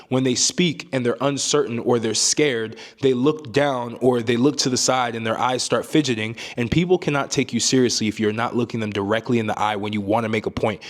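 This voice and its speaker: A calm young man with a deep voice giving casual advice to camera, often ending points with a rhetorical "right?". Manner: calm, emphatic, frequent "right?" tags. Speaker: self-improvement YouTuber.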